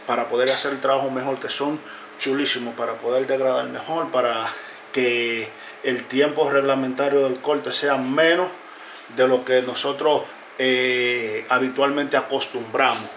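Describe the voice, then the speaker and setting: Earnest man talking in a noisy room. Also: medium pitch. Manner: earnest, repetitive, pauses with fillers. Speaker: barber and video creator. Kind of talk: casual talk to camera. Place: closed barbershop, indoors.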